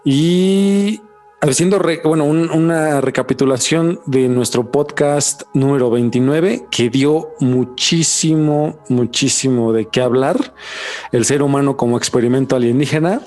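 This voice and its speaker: A man's voice: medium pitch, measured pace, darkish tone. Guy hosting a podcast, mid-range voice, bit of music underneath. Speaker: podcast host. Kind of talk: podcast presenting, scripted intros and casual chat.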